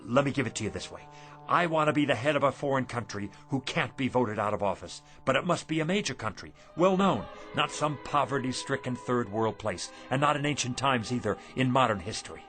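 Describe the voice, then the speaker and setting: An actor playing a poor, desperate middle-aged shopkeeper in a radio drama, with intense, emphatic delivery. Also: male voice, medium pitch, expressive, a little fast, a bit bright, soft background music, natural voice. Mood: eager, grandiose.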